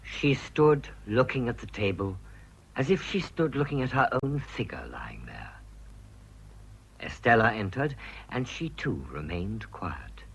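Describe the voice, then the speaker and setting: An actor playing a grave storyteller narrating a gothic radio drama, measured and solemn. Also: male voice, mid-range voice, very animated, creaky, husky, heavily acted, rough recording. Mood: solemn, ominous.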